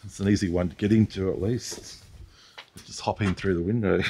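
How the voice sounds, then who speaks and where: A man's voice: low-pitched, normal speed. A man with an Australian accent hosting a vlog, chatting casually to viewers while he explores the countryside outdoors, with wind on the camera mic. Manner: relaxed, chatty, talks to viewers as he goes. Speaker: vlog host exploring abandoned places. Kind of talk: casual walk-through narration. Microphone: camera mic, wind noise.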